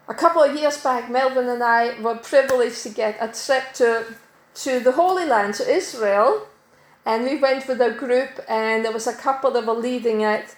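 A middle-aged woman from Denmark preaching a sermon in a church, measured and emphatic, slowing to stress key words. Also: a little high. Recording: echoey church hall.

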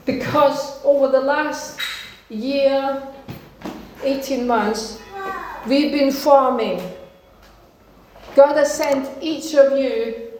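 High-voiced woman preaching in an echoey hall. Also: fairly even intonation, extremely slow, long pauses, a little mellow, a little hiss or hum. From Denmark. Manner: earnest, encouraging, speaks in short emphatic phrases. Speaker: pastor. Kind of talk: sermon. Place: church hall.

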